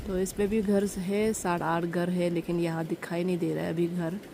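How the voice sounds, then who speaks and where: A woman's voice: fairly low pitch, little pitch movement, moderate pace, background noise. A young woman with a Northeast Indian accent chatting casually to viewers, explaining village life in a simple way. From Arunachal Pradesh, India. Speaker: village vlogger. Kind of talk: casual chatty vlog narration.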